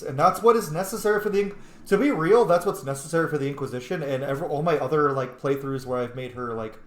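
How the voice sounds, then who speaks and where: A gamer giving casual, playful playthrough commentary, chatty and full of interjections. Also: mid-range voice. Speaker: gaming streamer. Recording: roomy, faint game ambience. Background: faint video game ambience.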